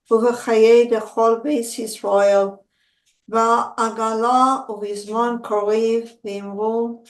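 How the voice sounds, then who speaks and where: An actress playing a solemn mourner reciting prayer in a stage drama. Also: female voice, fairly high pitch. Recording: echoey hall, faint music behind.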